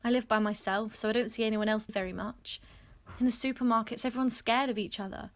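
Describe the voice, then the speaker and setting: Lonely woman played by an actress, earnest reflective video diary, voice a little high. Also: fairly even intonation, fast talker, lightly acted. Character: lonely but hopeful woman living alone in lockdown. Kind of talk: acted reflective diary monologue.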